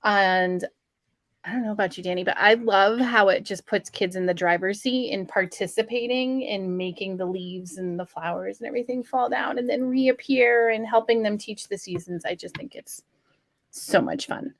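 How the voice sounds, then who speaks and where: A woman's voice: medium pitch, normal speed, no echo. Woman chatting in a dry, close recording. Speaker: guest recommending interactive children's books. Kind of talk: casual conversation.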